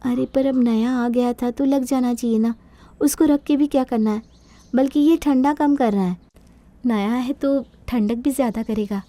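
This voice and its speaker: Woman as a meek, high-voiced young bride. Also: normal speed, dark tone, lightly acted. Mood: protesting. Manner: polite, mildly protesting.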